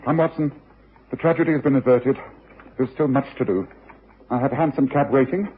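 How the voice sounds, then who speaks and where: An actor playing a confident, analytical Victorian detective in a radio drama, speaking with precise authority. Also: male voice, medium pitch, brisk, slightly rough, close to an everyday voice. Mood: brisk, commanding. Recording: muffled phone-like old radio recording.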